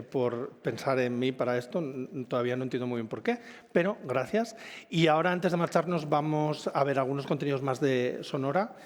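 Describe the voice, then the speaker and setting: A man's voice: medium pitch, a little mellow. A man with an accent from Spain hosting a live event in a casual, informal way. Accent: Spanish (Spain). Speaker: event host. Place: indoor event venue with long reverb. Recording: reverberant hall at live event.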